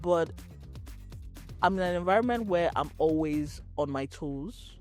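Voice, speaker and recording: A woman from Nigeria, a startup co-founder answering interview questions in a measured, reflective way, pausing often with fillers. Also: deep voice, lively intonation, a little mellow, soft background music. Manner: measured, thoughtful, pauses with fillers.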